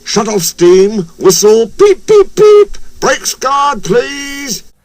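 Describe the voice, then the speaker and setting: A man voicing an excitable little male steam engine in a children's storybook, full of exclamations and whistles. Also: very high voice, very slow, pitched above the performer's own voice, heavily acted. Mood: urgent, excited.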